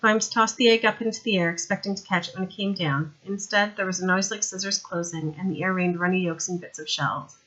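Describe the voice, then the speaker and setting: A woman's voice: on the low side, fairly even intonation, fairly quick, few pauses, fairly bright tone, lo-fi sound. A woman reading a novel aloud as scripted audiobook narration. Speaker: audiobook reader.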